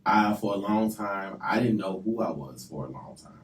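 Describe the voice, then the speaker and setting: A man's voice: fairly low pitch, animated, normal speed, far from the mic, slight background noise. A man who is a rapper and actor, a guest in a radio interview, speaking earnestly and emphatically and often repeating his words. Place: radio studio. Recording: echoey room, off mic.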